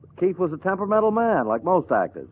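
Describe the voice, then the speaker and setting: An actor playing an evasive, self-justifying showbusiness agent in a 1950s radio drama. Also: male voice, high-pitched, big pitch swings, fast. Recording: tinny old radio recording.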